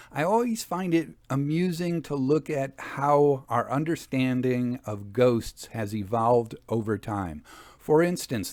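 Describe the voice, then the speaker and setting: A man narrating and commenting as a storyteller and host, with a measured, conversational and expressive delivery. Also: medium pitch, no room sound.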